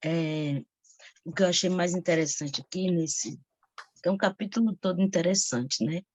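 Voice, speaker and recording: A Brazilian woman commenting informally on scripture as a participant in a devotional broadcast, halting as she searches for words. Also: lowish voice, a little mellow, no room sound.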